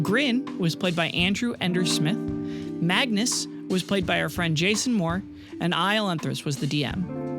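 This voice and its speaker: A game master telling a story casually and informally, with playful little touches. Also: mid-range voice. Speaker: game master and podcast host. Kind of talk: narrating a tabletop game, casual and improvised. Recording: prominent music behind the voice. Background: music.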